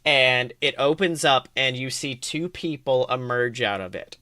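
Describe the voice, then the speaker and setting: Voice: medium pitch, unhurried, bright, forceful tone, no room sound, studio quality. A game master narrating a tabletop adventure in an informal, improvised way, swearing casually. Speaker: game master and podcast host.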